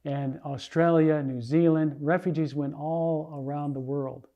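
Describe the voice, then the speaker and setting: A man's voice: on the high side, fairly even intonation, a little slow, highs cut off. An older man from the United States giving an earnest sermon testimony, telling his story with frequent restarts. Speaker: preacher.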